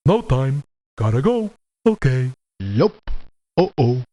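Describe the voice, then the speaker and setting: A man's voice: medium pitch, sing-song, takes it slowly, warm tone, dull audio. A man voicing a grumpy, combative video game mercenary who talks in terse, colloquial, confrontational lines. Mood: gruff, defiant. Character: grumpy, combative male mercenary, stubborn and folksy. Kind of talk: video game character voice acting.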